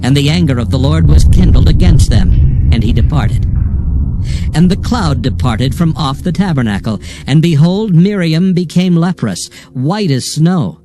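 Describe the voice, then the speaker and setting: A man narrating scripture from a script in a measured, solemn manner. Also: a little high, expressive, heavy background noise.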